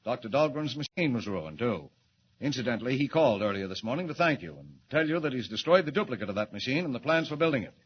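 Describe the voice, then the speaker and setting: An actor playing a brisk, authoritative newspaper editor in a 1940s radio drama. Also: male voice, medium pitch, expressive, close to an everyday voice. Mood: genial. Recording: muffled noisy 1940s radio recording.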